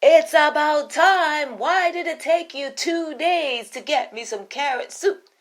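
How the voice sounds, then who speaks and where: A woman voicing an impatient, demanding queen in a storybook tale, speaking in a haughty, drawn-out way. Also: sits high, pitched above the performer's own voice.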